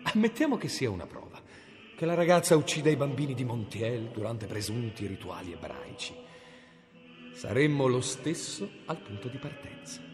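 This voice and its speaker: An actor playing a cold, commanding medieval inquisitor in a radio drama. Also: male voice, medium pitch, sing-song, normal speed, in a large hall, faint music behind, natural voice. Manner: commanding, scornful. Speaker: inquisitor Eymerich.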